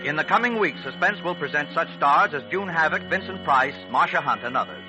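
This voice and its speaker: A man's voice: on the high side, animated, fairly quick, over music, rough recording. A man with an American accent announcing formally from a script in polished 1940s radio style.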